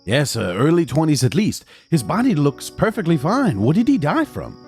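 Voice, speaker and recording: An actor playing an educated young medical student and wary accomplice in an audio drama, speaking in a formal, measured way. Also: male voice, a little high, wildly swooping pitch, a little mellow, faint music behind. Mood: agreeable. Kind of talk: audio drama acting and first-person narration.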